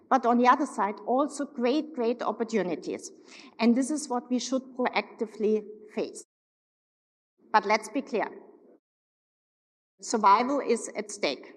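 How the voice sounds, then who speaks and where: A woman in her forties from Germany with a German accent, giving an upbeat, emphatic keynote speech on a stage and slowing down to stress key words. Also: fairly high pitch. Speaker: corporate executive keynote speaker. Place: large hotel ballroom. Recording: long reverb of a large hall.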